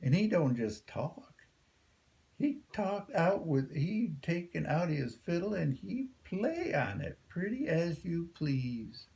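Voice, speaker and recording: A man's voice: on the high side, big pitch swings, slow, creaky, a little mellow, pitched above the performer's own voice, exaggerated voice. A man voicing an earnest, eager enslaved man in a folktale, speaking in folksy dialect. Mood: eager, insistent. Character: earnest enslaved young man, dreamer, pleading his case.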